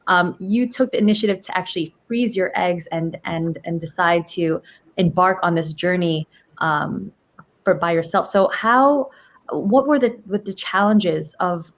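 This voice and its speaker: A woman's voice: lowish voice, normal speed. A woman co-hosting a talk show, putting questions to a guest in a conversational way. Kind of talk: conversational interviewing.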